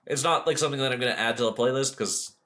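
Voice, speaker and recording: Bright-voiced casual man hosting a podcast. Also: mid-range voice, racing. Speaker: podcast host. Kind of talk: casual album review chat.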